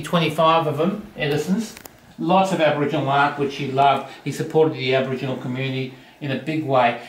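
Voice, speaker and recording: Enthusiastic older Australian man, echoey house. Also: on the high side. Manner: enthusiastic, rambling, self-joking. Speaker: auctioneer. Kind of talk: casual walkthrough chat. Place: large house, indoors. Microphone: handheld phone camera. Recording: echoey rooms, faint noise.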